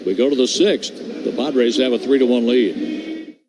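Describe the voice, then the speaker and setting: A man working as a radio play-by-play announcer, calling baseball pitch by pitch in a brisk, steady way that rises on big plays. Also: noisy background. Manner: brisk, steady calls that lift on hits.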